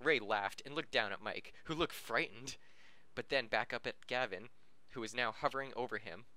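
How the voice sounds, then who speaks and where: A man's voice: medium pitch, normal speed, sharp tone, dry recording. A man reading a story aloud as an amateur podcast host, giggly and tripping over words. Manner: stumbles over the text, giggly.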